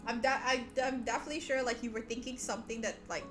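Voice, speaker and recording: Female streamer, very high voice, playful and teasing, loose chatty delivery over background music. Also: steady tone, fast. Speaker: livestream host. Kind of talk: casual live stream chat, teasing viewers. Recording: echoey room, music behind voice. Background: soft background music.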